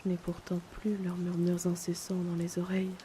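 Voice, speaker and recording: A woman playing a melancholic young noblewoman haunted by her past in an audio drama, speaking in a hesitant, hushed, wistful way. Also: fairly low pitch, moderate pace, slight background noise, lightly acted. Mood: pensive, melancholic. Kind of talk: slow introspective audio drama monologue. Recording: steady loud rainfall behind the voice. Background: rain.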